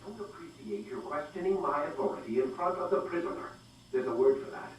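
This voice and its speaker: Heard through heavy reverberation and faint noise, an actor plays a domineering male boss from a video game. He is cold, demanding and pointedly condescending. His voice is pitched slightly high. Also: animated, brisk, lightly acted. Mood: irritated, condescending. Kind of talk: video game cutscene acting. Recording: very echoey, distant, faint noise.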